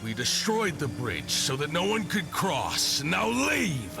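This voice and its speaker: A menacing werewolf guarding pack land in a fantasy game. He speaks slowly and threateningly in a gravelly voice. Clear music sits behind him. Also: fairly high pitch, wildly swooping pitch, full character voice. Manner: slow, threatening. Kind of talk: scripted video game dialogue.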